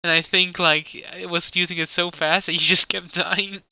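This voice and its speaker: A man's voice: sits high, level tone, normal speed, vocal fry, pushed and bright, smooth, no room sound. A man in his twenties co-hosting a casual podcast, chatty and nostalgic, laughing often. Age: 20s. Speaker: podcast co-host. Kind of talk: casual chat.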